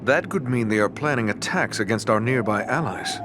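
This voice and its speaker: An actor playing a sober, courteous warrior in a fantasy video game, speaking in a formal, dry way. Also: male voice, mid-range voice, animated, moderate pace, background noise, natural voice. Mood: dry, doubtful. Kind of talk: video game cutscene acting.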